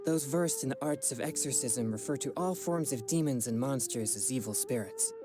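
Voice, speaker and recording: A man voices a young exorcist from a fantasy game. He speaks formally and courteously, earnest and quick to apologise, at a middling pitch. Music plays clearly behind him. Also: close to an everyday voice. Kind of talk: video game voice acting.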